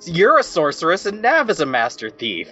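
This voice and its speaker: A man's voice: fairly high pitch, wildly swooping pitch, moderate pace, pushed and bright. A man playing a tabletop game, bantering casually in an insistent, jokey way. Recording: muffled audio, music bed. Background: music.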